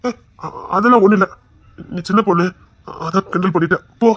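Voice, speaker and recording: A man's voice: high-pitched, brisk, a bit bright. An actor playing an embarrassed young man who curtly brushes off an apology. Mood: dismissive. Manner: curt, dismissive.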